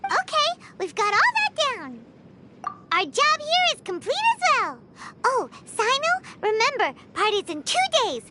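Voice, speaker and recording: A woman voicing a small, childlike fairy companion in a game, perky and eager with a squeaky voice. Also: very animated, a little slow, very noisy, full character voice. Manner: perky, speaks of self in third person. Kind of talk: animated game character acting. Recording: prominent background music.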